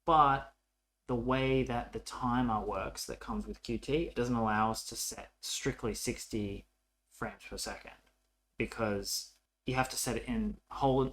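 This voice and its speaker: Low creaky-voiced man teaching code. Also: a little hiss or hum. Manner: informal, halting, thinking aloud. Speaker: programming tutorial presenter. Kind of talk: casual coding tutorial narration while typing.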